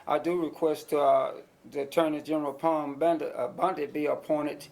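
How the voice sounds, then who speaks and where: A man's voice: on the high side, fairly even intonation, normal speed, creaky, a little mellow. A man speaking as a defendant at a hearing, objecting insistently and repeating himself as he interrupts. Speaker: defendant at an arraignment. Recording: noisy courtroom recording.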